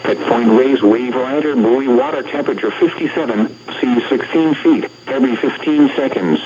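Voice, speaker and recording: A man's voice: mid-range voice, moderate pace. Automated weather radio announcer: American man, synthetic and impersonal, reading scripted bulletins in segments, muffled radio signal with faint noise.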